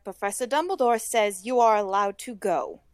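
A reader voicing a brisk, fussy female school nurse who speaks firmly and stiffly. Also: mid-range voice, dry recording, clean studio sound, pitched above the performer's own voice, natural voice. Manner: firm, stiff. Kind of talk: audiobook reading.

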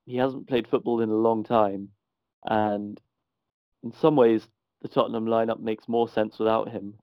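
A man with an Irish accent giving measured, analytical views in a casual football podcast chat. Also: on the low side, fairly even intonation, clear, resonant tone, no echo, dull audio. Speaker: podcast panelist.

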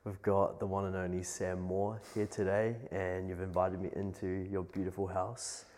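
A man's voice: low-pitched, monotone, normal speed, darkish tone. A man from New Zealand with a New Zealand accent, hosting a casual interview in a friend's home and laughing often. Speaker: podcast host. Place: guest's home.

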